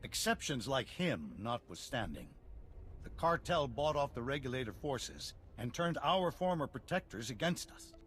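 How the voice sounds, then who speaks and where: An actor playing a dignified, worried middle-aged colonial leader in a video game, speaking formally and earnestly. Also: male voice, medium pitch, very animated, normal speed, fairly bright tone, soft background music. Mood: troubled. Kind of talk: video game cutscene acting.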